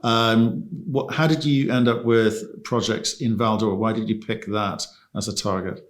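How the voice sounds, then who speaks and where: A man hosting a business interview, asking questions in a relaxed, conversational way and often repeating words. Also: lowish voice, unhurried. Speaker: interview host.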